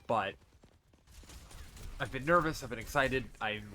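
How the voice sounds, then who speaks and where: A man's voice: mid-range voice, unhurried, bright, forceful tone, slight background noise. Stuffy-nosed man with a faint Boston accent. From Massachusetts, USA. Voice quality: stuffy, congested. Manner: rambling, with many restarts and fillers. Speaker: streamer and vlogger. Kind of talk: casual personal vlog. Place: his bedroom with a fan running. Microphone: desk mic on a stand.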